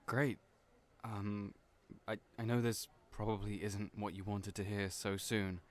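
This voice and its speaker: A man with a somewhat low voice speaks gently and hesitantly in an audio roleplay, often stammering and trailing off. His delivery stays quiet and reserved. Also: level tone, measured pace. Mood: gentle. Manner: soft-spoken, hesitant, stammering.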